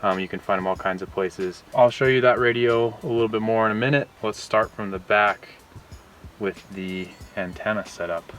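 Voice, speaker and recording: A man's voice: fairly low pitch, fairly even intonation, moderate pace, a bit bright. A young man giving a casual hands-on video walkthrough with informal, laid-back phrasing, recorded in and around a car. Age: young adult. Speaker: vlogger showing a car radio install. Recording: noisy, distant camera mic around a vehicle.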